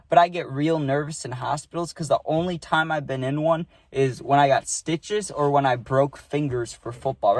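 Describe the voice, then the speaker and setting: A man of twenty talking straight to camera, telling a story in a rambling, excitable way and often checking in with "right?" and "dude". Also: medium pitch, no echo. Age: 20s. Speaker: vlogger. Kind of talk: casual storytime monologue.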